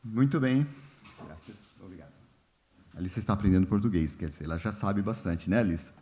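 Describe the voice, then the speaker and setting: A man leading a church Bible study in a relaxed, informal way, often pausing with fillers and asking the congregation questions. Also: low-pitched. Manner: unhurried, many fillers and "né?", asks the congregation questions. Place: church hall.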